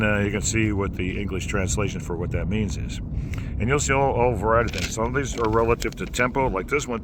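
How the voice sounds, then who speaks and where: A man with a low voice teaching singing in a folksy, rambling way, with many fillers and restarts. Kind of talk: casual instructional talk. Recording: noisy background.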